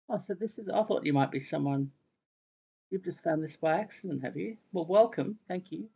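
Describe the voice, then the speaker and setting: A man's voice: a little high, vocal fry, a little mellow, breathy edge, low-quality recording. A man chatting casually to his viewers while he cooks on a live stream. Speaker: cooking livestreamer. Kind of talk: casual live cooking chat.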